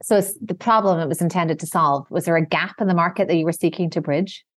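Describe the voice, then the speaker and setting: A woman's voice: fairly low pitch, fast talker, dry recording, studio quality. Composed woman podcast host. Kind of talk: scripted podcast introduction and interview questions.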